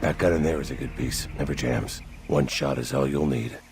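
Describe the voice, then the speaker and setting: A man playing a world-weary, ruthless mercenary zealot in a video game cutscene, speaking in a grim, deliberate, fatalistic way. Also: medium pitch, sing-song, creaky. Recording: dramatic music loud behind the voice. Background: loud music.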